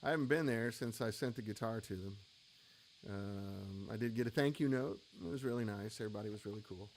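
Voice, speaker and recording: A man's voice: on the low side, brisk, a little mellow, a little hiss or hum. A man in his late fifties, an amp maker hosting a live web show, chatting candidly and reading out viewer comments, with lots of ums and uhs as he rambles on. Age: 50s. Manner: rambling and candid, with many fillers.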